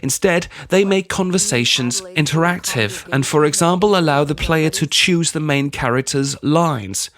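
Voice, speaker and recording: A man's voice: on the high side, normal speed, hardly any pauses, fairly bright tone. A man hosting a video essay in scripted narration. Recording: music behind the voice. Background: background music.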